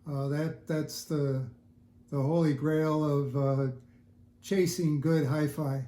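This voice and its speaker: An older man chatting casually in an interview, explaining things in a halting, thoughtful way. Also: on the high side, steady tone, mellow. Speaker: interview guest, audiophile hobbyist. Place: indoors at home listening room. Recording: roomy, echoey home recording.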